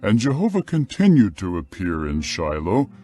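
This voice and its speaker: A man narrating dramatized scripture in a solemn, measured, clearly phrased manner. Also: sits low, wildly swooping pitch, warm tone, faint music behind.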